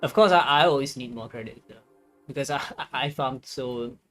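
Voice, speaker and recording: A game streamer giving casual live commentary, thinking aloud in a hesitant, halting way. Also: medium pitch, a bit bright, soft background music.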